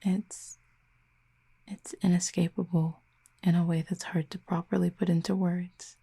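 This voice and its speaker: A woman playing a gentle, wistful cupid exiled from her home, talking softly and slowly to the listener, often close to a whisper, in an audio roleplay. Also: fairly low pitch, flat delivery, gravelly, husky, exaggerated voice. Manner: soft, hushed and slow, often near a whisper.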